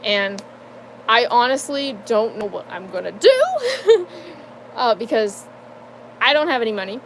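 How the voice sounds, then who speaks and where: A young adult woman giving a casual personal life update, halting and full of fillers, with nervous laughs between sentences. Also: fairly high pitch, sing-song, a little hiss or hum, rough recording. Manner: hesitant, halting, many fillers and restarts. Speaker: vlogger.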